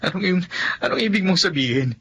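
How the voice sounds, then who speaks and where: A man playing Robert, a cheerful young graduate in a radio drama, who speaks warmly and gratefully. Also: sits high, lively intonation, brisk, husky, full character voice. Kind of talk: Filipino radio drama acting.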